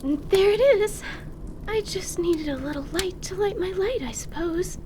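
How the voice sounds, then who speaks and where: A woman's voice: extremely high pitch, animated, background noise, voice pushed up. A woman voicing a polite, earnest female surgeon in radio theatre, speaking formally and carefully. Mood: flustered. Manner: formal, measured, careful wording. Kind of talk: radio theatre character acting.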